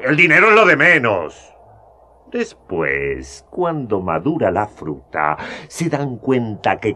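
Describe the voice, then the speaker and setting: A man's voice: fairly low pitch, pushed and bright, a little hiss or hum, exaggerated voice. An actor playing a worldly, scoffing older male scholar in a radio drama, mocking and laughing as he talks.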